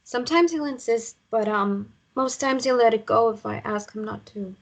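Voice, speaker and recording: A weary mother in a drama, played by a woman, speaks in a fairly high voice. She is evasive and halting, smoothing over trouble as if it were normal. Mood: defensive, subdued. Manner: hesitant, halting, appeasing. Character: weary mother downplaying her ex's abuse, evasive. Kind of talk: naturalistic drama table read.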